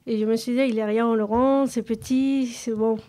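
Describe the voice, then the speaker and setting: Higher-voiced woman in spontaneous interview talk. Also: steady tone, a little fast, warm tone. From a very large city. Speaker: interviewed member of a women's association.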